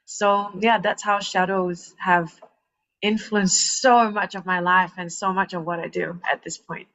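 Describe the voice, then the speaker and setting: A woman in her thirties from Malaysia, a coach telling her story in a casual podcast chat, animated and laughing often. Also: lowish voice, a little slow. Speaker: guest, transformational coach. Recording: muffled lo-fi sound.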